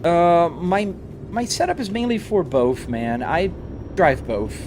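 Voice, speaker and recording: A man's voice: fairly high pitch, expressive, slow, a little mellow, a little hiss or hum. Brazilian man chatting on a live stream. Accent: Brazilian Portuguese mixed with American. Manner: chatty, reads and answers viewer comments aloud. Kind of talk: casual live-stream chat while gaming.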